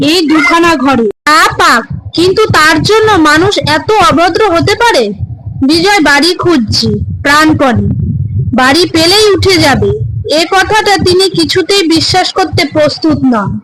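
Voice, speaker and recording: A woman's voice: high-pitched, fairly bright tone, low-quality recording. A woman narrator reading a scripted horror story for a cartoon.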